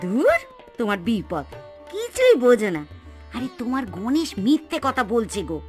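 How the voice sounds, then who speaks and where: A woman's voice: a little high, huge pitch swings, normal speed, faint music behind. A woman playing a greedy, scheming, impatient village aunt in a Bengali cartoon, with theatrical, exclamatory delivery. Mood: scheming, irritated. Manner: exclamatory, theatrical, coaxing and scolding. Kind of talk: Bengali cartoon moral-story acting.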